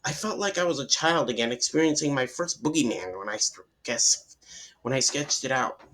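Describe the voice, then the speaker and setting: A man voicing a male film director in a horror story, reflecting haltingly on an eerie inspiration as if interviewed. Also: medium pitch, expressive, fairly bright tone, lightly acted. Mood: musing, uneasy. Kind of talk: quoted interview within horror narration.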